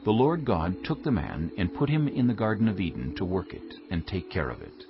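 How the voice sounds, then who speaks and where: A male narrator reads scripture as solemn storytelling. His voice is low and moves expressively through each phrase. Music sits clearly behind him. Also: very animated, fairly quick. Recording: dull muffled audio under music.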